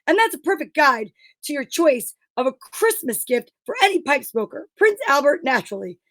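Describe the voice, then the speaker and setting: A woman's voice: high voice, sing-song, moderate pace, fairly bright tone, no echo. A woman co-hosting an online show, chatting casually and reading vintage radio advertising copy. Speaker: co-host reading old radio show scripts.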